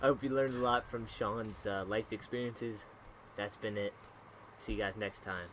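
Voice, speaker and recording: A man's voice: fairly low pitch, steady tone, normal speed, noisy background. A young man co-hosting a podcast in casual chat, giving amused, slangy reactions to a friend's story. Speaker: podcast co-host.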